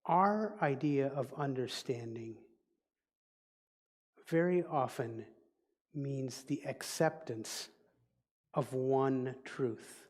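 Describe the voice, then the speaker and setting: A man with a Dutch accent, a native Dutch speaker, giving a reflective sermon as a minister in a deliberate, teaching manner. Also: medium pitch, darkish tone. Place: church sanctuary. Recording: long reverb of a large hall.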